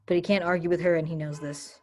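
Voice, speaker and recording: A woman's voice: lowish voice, little pitch movement, fast talker, darkish tone. A woman giving scripted narration, reading stage directions for a play reading.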